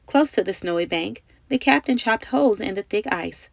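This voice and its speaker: A woman narrates a children's storybook with expressive, emphatic phrasing. Her voice sits at a medium pitch with some gravelly fry, recorded close and dry. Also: moderate pace, no echo. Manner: dramatic, carefully phrased storytelling with emphatic delivery. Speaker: storybook reader.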